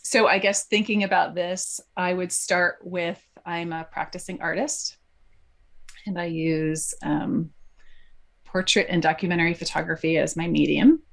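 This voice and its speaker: A middle-aged woman from New Jersey, a podcast guest speaking thoughtfully with many pauses and fillers. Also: lowish voice, fairly even intonation, gravelly. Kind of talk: conversational interview.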